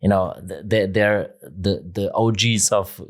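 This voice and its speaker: Chatty podcast host, low voice. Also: male voice. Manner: chatty, laughs easily, quick interjections. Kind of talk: casual interview chat.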